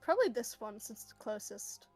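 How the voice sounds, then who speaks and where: A woman's voice: a little high, big pitch swings, a little fast, darkish tone, no echo. A woman chatting casually as a player in a tabletop roleplaying game. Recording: music behind the voice. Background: faint music.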